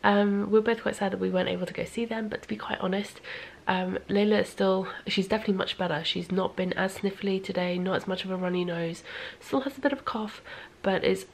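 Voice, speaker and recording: A woman's voice: medium pitch, steady tone, a little fast, creaky, few pauses, a little hiss or hum. A woman chatting casually in a vlog in a rambling way, audibly congested and sniffly, sometimes dropping almost to a whisper. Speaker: knitting vlogger.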